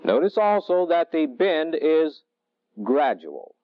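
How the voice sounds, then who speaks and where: Male technical instructor, middle-aged, fairly high voice with varied intonation, measured teaching delivery, old training-film sound. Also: big pitch swings, no room sound. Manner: deliberate, didactic, measured phrasing. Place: indoor training film studio. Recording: dull, dry vintage film soundtrack.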